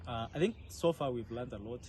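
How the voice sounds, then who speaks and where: A young Kenyan man giving earnest interview answers, with frequent hesitant pauses. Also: mid-range voice, a little mellow. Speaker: interviewee, leadership fellow. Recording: clear ambient background noise.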